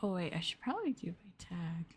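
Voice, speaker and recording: A woman streamer chatting softly in an ASMR livestream style, gentle and hesitant, sometimes drifting into a whisper. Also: lowish voice, sing-song, fairly quick, slightly rough. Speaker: streamer, VTuber host.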